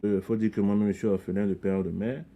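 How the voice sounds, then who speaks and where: A man's voice: on the low side, fairly even intonation, quick pace, mellow, dull audio. A middle-aged man from Ivory Coast, a community leader speaking as a podcast guest, talking earnestly and reflectively, often repeating words as he restarts phrases. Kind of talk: conversational interview.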